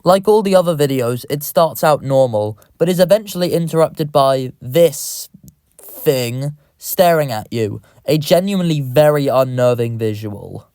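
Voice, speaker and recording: A man's voice: medium pitch, expressive, unhurried, darkish tone, clean tone, no echo. A man reading scripted narration as the narrator of a horror video, recorded close to the mic.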